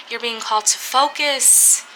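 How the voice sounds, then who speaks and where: Slow, dreamy woman reading tarot. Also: fairly high pitch, background noise. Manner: slow, dreamy, with soft laughs and pauses. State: stuffy from a cold. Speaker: tarot reader. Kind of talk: spiritual tarot reading for camera.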